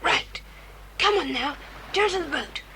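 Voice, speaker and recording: Decisive boy ringleader, high animated voice, brisk, earnest, children's radio-style drama, hissy cassette. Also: full character voice. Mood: urgent, commanding. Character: Jack, bold decisive boy leading the children. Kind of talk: children's audiobook drama acting. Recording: hissy, rumbling 1980s cassette transfer.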